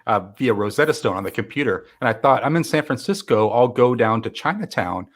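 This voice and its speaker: A man hosting a live stream interview, upbeat and quick to laugh. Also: medium pitch, animated. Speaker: host.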